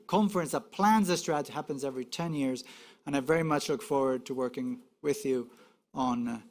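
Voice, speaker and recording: A man moderating a panel in a chatty way, with friendly asides and light laughs. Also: a little high.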